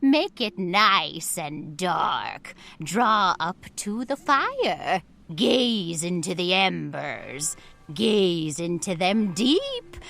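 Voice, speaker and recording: A woman playing an ancient, gleefully macabre witch who tells horror tales in a slow, cackling, theatrical radio style. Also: medium pitch, fairly bright tone, full character voice. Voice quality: cackling, creaky old-crone voice. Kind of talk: old-time radio horror acting.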